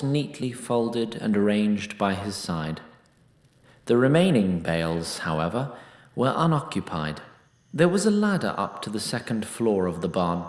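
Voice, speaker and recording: A roomy echo surrounds this male narrator of a fantasy tale. He reads formal, scripted prose with a wry touch in a medium-pitched voice.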